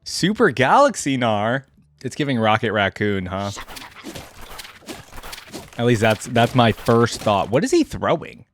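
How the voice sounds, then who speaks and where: A man hosting a gaming reaction video, commenting casually off the cuff and thinking out loud with easy surprise. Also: mid-range voice, sing-song, a little slow, long pauses, slight background noise. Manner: easily amused, thinks out loud, exclaims with surprise.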